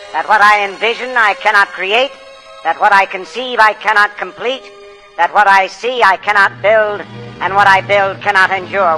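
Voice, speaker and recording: An actor playing a defiant, grandiose architect, declaiming deliberately in an audio stage play. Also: male voice, high voice, bright, forceful tone, poor audio. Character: defiant, grandiose male architect orating with conviction.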